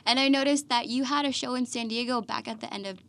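Woman, podcast host, fairly high pitch, upbeat, casual interview. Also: fast, fairly bright tone.

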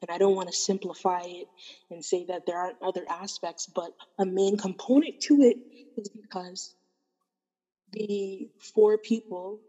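A woman's voice: medium pitch, steady tone, measured pace, mellow, husky, long reverb tail. A woman from Canada talking in a casual discussion, earnest and thoughtful, with many fillers and restarts. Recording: dull, hollow-sounding recording.

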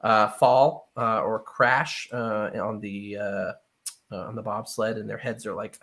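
A man's voice: on the low side, fairly even intonation, unhurried, fairly bright tone. A man hosting a podcast, debating films casually with an opinionated, conversational delivery. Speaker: podcast host.

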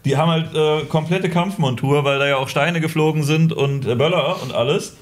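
Podcast guest dude, slightly high voice, rambles on easygoing. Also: male voice, fairly quick. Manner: rambling, relaxed storytelling. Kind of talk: casual podcast chat.

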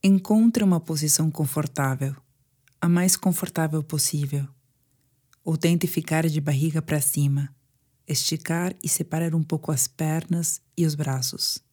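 Slow, low-voiced Brazilian woman guiding meditation. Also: fairly even intonation. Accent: Brazilian Portuguese. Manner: slow, soothing, hushed delivery with pauses. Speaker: meditation guide. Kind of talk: guided sleep meditation narration.

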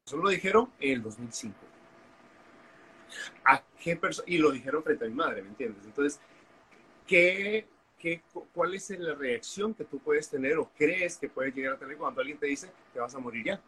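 A man's voice: on the high side, expressive, normal speed, pushed and bright, slight background noise, poor audio. A man, a guest telling his story candidly in an interview over a video call. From Honduras. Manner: candid, storytelling, frequent fillers. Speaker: guest, activist. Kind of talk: interview conversation.

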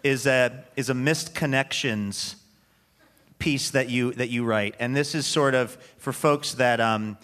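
A man's voice: mid-range voice, steady tone, a little slow, a bit bright. A man hosting a casual, joking live interview in a wry, conversational way. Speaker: radio show host, interviewer.

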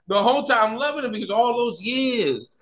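A man chatting casually about retro video games as a podcast guest, excitable and emphatic, often repeating himself. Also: very high-pitched, normal speed, heavy background noise.

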